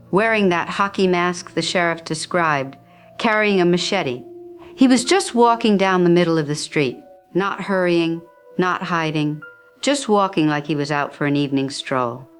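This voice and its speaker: A woman playing a frightened resident in a radio drama, giving an eyewitness account in short, halting phrases. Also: fairly low pitch. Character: frightened female resident hiding at home.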